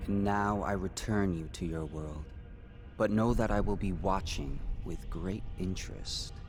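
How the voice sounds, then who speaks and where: Aloof, low-voiced otherworldly being. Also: male voice, music in the background. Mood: detached, ominous. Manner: slow, deliberate, ominous. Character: aloof supernatural being, young-looking male, cryptic and watchful. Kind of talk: dramatic video game voice acting.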